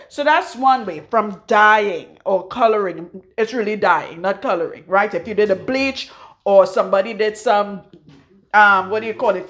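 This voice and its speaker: A middle-aged Trinidadian woman giving a frank, forceful hair-care talk as a loctician in her salon. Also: fairly high pitch. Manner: blunt, forceful, frank, swears freely. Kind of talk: casual hair-care tutorial.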